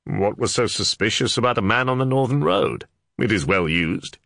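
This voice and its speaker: A hard-headed sergeant who talks plainly and a bit roughly, with a scathing edge, as a male narrator voices him. The voice is lifted a little above the narration and has a gravelly grain. It is recorded dry and close for a storybook audiobook. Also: on the low side, animated, moderate pace, fairly bright tone, no echo, voice pushed up. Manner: plain-spoken, rustic, scathing. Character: shrewd blunt middle-aged male sergeant. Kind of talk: audiobook character voice.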